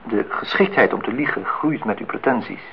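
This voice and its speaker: An actor playing a disillusioned, reflective man in his thirties in a Dutch radio play, speaking in measured, philosophical phrases. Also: lowish voice, vocal fry. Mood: sardonic, lecturing. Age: 30s. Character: disillusioned, reflective man musing on truth and love. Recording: dull, noisy old radio play recording.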